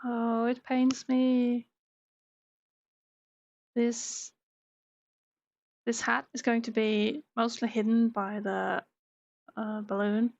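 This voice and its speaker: A Norwegian woman, a native speaker of that language, chats with her viewers while she draws on a live stream. Her voice sits a little high with a gravelly edge, and she speaks slowly, trailing off with fillers as her focus shifts to the sketch. The sound is dry and slightly dull, like a home stream setup. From Norway. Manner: relaxed, reads chat aloud, trails off with fillers while concentrating. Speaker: art streamer. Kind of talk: casual live-stream chat while drawing.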